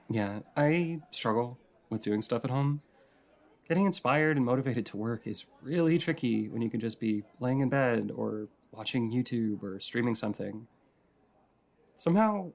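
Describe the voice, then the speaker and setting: A man playing a shy, sweet writer in an intimate audio roleplay, chatting in a hesitant, rambling, softly flirty way. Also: medium pitch. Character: shy, rambly, sweet regular writing in a coffee shop.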